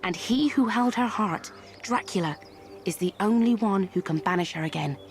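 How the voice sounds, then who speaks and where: An actress playing a wry, worldly herbalist and fortune-teller in a game cutscene, musing with a theatrical touch. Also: female voice, medium pitch, moderate pace, lightly acted. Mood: musing, sardonic. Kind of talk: video game cutscene acting. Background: music.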